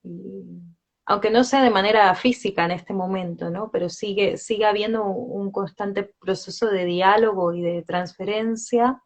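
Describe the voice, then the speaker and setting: A woman responding in a reflective conversation, speaking in a slow, measured way with pauses. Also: medium pitch, fairly even intonation, rough recording.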